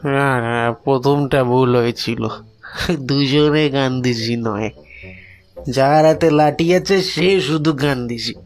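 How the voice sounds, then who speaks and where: A man playing a bleary, foolish drunkard in an audio drama, with drawn-out tipsy speech. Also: medium pitch, lightly acted. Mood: muddled, insistent. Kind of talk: comic acting in Bengali audio drama.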